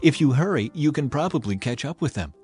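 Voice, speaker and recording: A man's voice: fairly high pitch, lively intonation, a little fast, a little mellow, husky, soft background music, pitched above the performer's own voice. A man voicing an earnest, pleading woman of ancient Jericho in a declamatory scripture dramatization. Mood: urgent.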